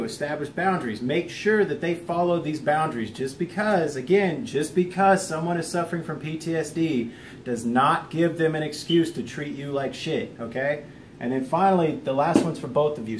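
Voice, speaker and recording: A man's voice: mid-range voice, darkish tone, a little hiss or hum. An American man giving an informal instructional vlog talk in an earnest, plain-spoken, encouraging manner. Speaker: video host teaching self-help lessons.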